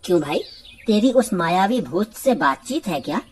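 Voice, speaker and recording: A woman's voice: fairly low pitch, sing-song, moderate pace, slight background noise, voice pushed down. A woman voicing a curious, suspicious but good-hearted village man in a cartoon, earnest and questioning. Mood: teasing, suspicious.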